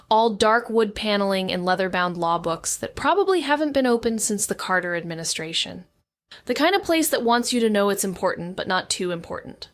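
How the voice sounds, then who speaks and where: A woman's voice: mid-range voice, lightly acted. A woman playing a wry, self-deprecating young woman back in her hometown, narrating an audio drama in a conversational, sardonic way with rambling asides. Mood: reflective, wry. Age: young adult. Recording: noticeable background ambient noise.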